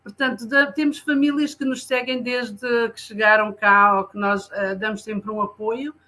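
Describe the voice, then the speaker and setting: Bright, flat-toned woman explaining. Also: fairly high pitch. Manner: halting, many fillers and restarts. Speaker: community centre social worker. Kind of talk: explaining services in an interview.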